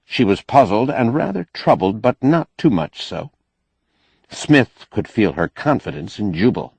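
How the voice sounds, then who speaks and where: Deep-voiced male audiobook narrator, very lively intonation. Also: measured pace, vocal fry, slightly rough, no echo. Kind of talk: scripted narration of a science fiction novel.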